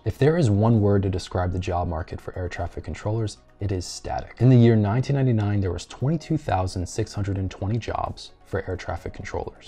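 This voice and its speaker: A man in his thirties hosting a scripted explainer, with a measured delivery that leans on the start of phrases. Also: on the low side, gravelly, warm tone, slightly rough. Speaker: host of a careers video channel. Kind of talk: scripted explainer narration to camera. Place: small furnished room at home, seated at a table.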